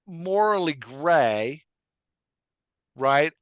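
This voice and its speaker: A man hosting a casual coaching conversation, explaining in an animated way and often checking that he is understood. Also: on the high side, drawn-out words, clean tone, dry recording, clean studio sound. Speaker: podcast host and writing coach.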